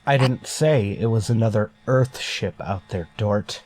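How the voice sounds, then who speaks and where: A man's voice: lowish voice, measured pace, darkish tone, rough recording. An actor playing a stern, wary male starship captain in a sci-fi radio drama, with a clipped, commanding manner. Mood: grim, wary. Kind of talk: golden age sci-fi radio drama acting.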